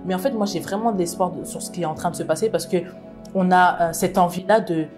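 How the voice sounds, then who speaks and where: A woman's voice: fairly low pitch, fast talker, a little mellow, faint music behind. A woman from France, a book club founder, speaking earnestly in a casual interview, often restarting her sentences. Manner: earnest, frequent restarts and affirming "exactement".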